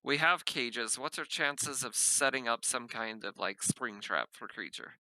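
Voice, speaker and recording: Very bright, forceful male tabletop player. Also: mid-range voice, unhurried, no echo, poor audio. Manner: blunt, sweary. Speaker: player in a tabletop roleplaying game. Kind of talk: casual game chat, partly in character.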